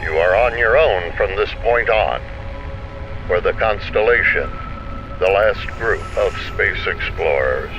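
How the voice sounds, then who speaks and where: A man's voice: low voice, expressive, unhurried. A man playing a solemn, formal mission control officer in a science fiction audio drama. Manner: formal, grave. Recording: dull radio transmission over music.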